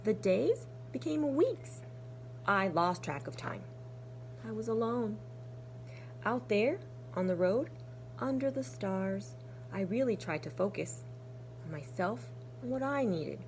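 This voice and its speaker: Slow-paced woman reading a children's storybook. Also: mid-range voice, slight background noise, poor audio. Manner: animated, deliberate storytelling with hushed, breathy asides. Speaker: kindergarten teacher. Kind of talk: expressive children's storybook read-aloud with asides.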